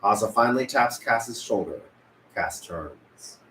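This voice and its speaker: A man's voice: on the low side, lively intonation, measured pace, fairly bright tone. A man narrating stage directions at a script table read. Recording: echoey room, faint noise.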